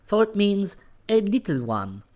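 A man's voice: sits high, big pitch swings, unhurried, darkish tone, pitched above the performer's own voice. A man voicing a young female forest nymph from a storybook, earnest, tender and boldly pleading.

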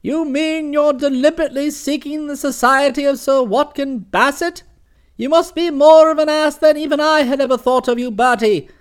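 A man voicing a booming, imperious older aunt who blusters and scolds. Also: moderate pace. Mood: blustering.

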